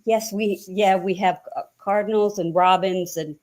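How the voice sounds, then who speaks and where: A woman from New England with a subtle regional accent, chatting hesitantly and laughing softly as she gets a reading. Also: mid-range voice, fairly even intonation, moderate pace. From New Hampshire and Massachusetts, US. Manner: hesitant, frequent soft laughter. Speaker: guest receiving a reading. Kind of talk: casual chat.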